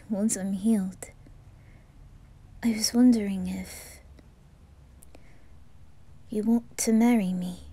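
A woman voicing a wounded, lovestruck teenage boy in an ASMR roleplay, soft and breathy with a hesitant, tender manner. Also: medium pitch. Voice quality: soft, breathy, often whispering.

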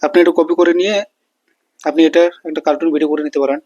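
Higher-pitched man explaining a tutorial. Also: fairly even intonation, fast talker, warm tone, dry recording, highs cut off. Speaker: tutorial host. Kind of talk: casual step-by-step screen tutorial.

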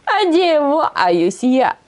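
A woman's voice: high-pitched, very animated, extremely slow, voice pushed up, exaggerated voice. Woman telling of a drawling lazy young villager. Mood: reluctant.

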